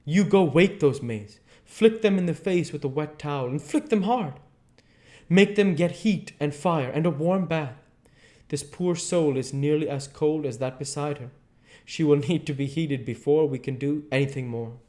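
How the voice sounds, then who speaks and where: A man's voice: fairly high pitch, normal speed, mellow, voice pushed up, close to an everyday voice. A man voicing an urgent older male professor in a storybook reading, stern and commanding, with stilted, exclamatory phrasing. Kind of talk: audiobook character voice.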